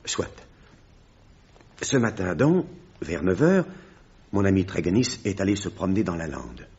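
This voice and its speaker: A man playing a grave village pastor in a radio mystery, telling a tragic tale in a measured, dramatic way. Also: low voice, very animated, a little mellow, breathy edge, highs cut off, heavily acted. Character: grave village pastor recounting a horrific family tragedy. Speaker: actor in a radio mystery play. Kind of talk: French radio drama acting.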